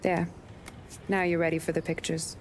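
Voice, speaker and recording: An actress playing a sassy young working-class woman in a video game, teasing and wry but warm-hearted. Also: on the low side, flat delivery, moderate pace, background noise, lightly acted. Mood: playful, teasing. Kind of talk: dramatic video game acting.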